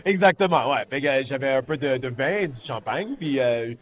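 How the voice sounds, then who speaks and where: Male actor, Canadian French accent, mid-pitched voice, informal interview talk with English words mixed in and repetitions. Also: expressive, moderate pace. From Canada, born in Montreal and grew up in Winnipeg. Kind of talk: casual interview.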